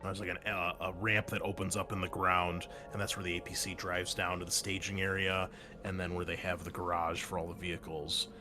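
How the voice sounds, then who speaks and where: Low-voiced man running a tabletop game. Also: fairly quick, faint music behind. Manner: relaxed narration with many fillers. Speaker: game master.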